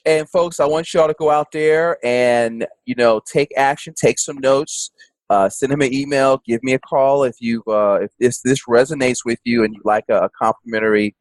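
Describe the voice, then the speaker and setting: Guy hosting a money podcast, folksy and rambly, mid pitch, dry close mic. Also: no room sound, rough recording. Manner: folksy, rambling, frequent fillers. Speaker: podcast host. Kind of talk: casual interview chat.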